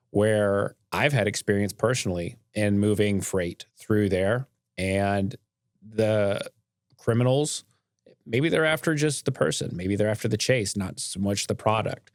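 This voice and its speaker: A man with a low voice hosts a podcast in an earnest, conversational way. His talk is casual, and the recording is close and dry, with very little room sound. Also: no echo. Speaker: podcast host, railroad company boss.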